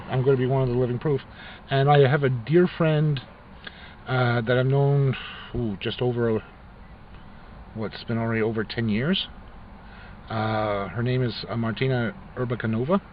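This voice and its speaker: A man's voice: medium pitch, slight background noise. A middle-aged man vlogging casually, rambling with frequent restarts and fillers. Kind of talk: casual talk to camera. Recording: dull lo-fi recording with faint ambience.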